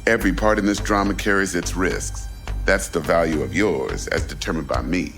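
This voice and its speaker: A man playing a shady, calm, bossy crime fixer in a video game, with a gravelly voice and streetwise, pronouncing manner. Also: deep voice, faint music behind. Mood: cool, unyielding. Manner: slow, pronouncing, streetwise slang and aphorisms.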